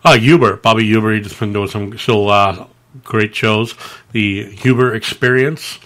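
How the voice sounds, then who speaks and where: Low-voiced man chatting on a podcast. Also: normal speed, a bit bright. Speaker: podcast co-host. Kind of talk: casual rambling live podcast chat.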